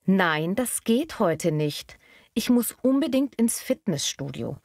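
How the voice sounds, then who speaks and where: A woman acts as a stubborn restaurant worker in a scripted lesson dialogue. Her medium-pitched voice is recorded dry. She comes across as unbothered and defiant. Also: sing-song, takes it slowly, a little mellow, no echo, exaggerated voice.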